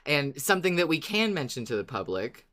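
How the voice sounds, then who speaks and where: A podcast co-host with a medium-pitched voice talks in casual fan-chat style. The delivery is expressive, often acting out reactions in a dramatized way. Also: fairly quick.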